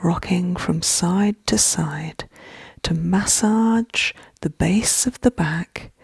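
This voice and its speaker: A woman with a gentle, hushed, breathy voice leading a soft-spoken ASMR guided relaxation, soothing and often close to a whisper. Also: fairly low pitch, slow pace, no room sound.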